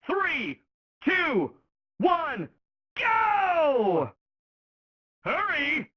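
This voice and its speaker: Arcade racing game announcer, excited and brash, slow emphatic delivery, punchy phrases, dull lo-fi game audio. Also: high-pitched, no room sound, full character voice. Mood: urgent countdown. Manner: exclamatory, punchy short phrases. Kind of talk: video game race announcer voice clips. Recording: muffled, lo-fi game sound rip.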